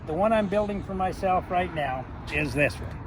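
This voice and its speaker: A man's voice: high-pitched, animated, moderate pace, a crowd in the background. An older man who builds wagons, telling rambling folksy stories in a casual outdoor interview. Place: outdoors by a van on a street. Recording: background music under outdoor talk.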